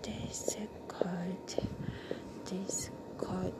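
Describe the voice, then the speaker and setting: A woman reading the part of an upset wife caught between her husband and her brother, in a hushed, half-whispered delivery. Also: lowish voice, fairly even intonation, drawn-out words. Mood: pleading.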